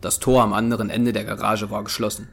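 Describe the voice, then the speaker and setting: A man's voice: on the low side, level tone, fast talker, gravelly. A man reading scripted narration for an audio drama. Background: music.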